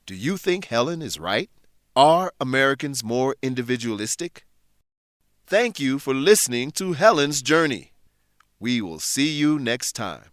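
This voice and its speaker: A man narrating a scripted English lesson, explaining things in clear phrases for learners. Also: medium pitch, sing-song, measured pace, a bit bright.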